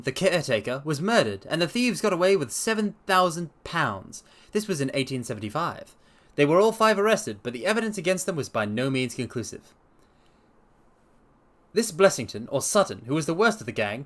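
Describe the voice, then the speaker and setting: A man voicing a brisk, confident, eloquent detective in a dramatic story reading, explaining with emphatic precision. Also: on the high side, big pitch swings, a bit bright. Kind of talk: dramatic audiobook-style character reading.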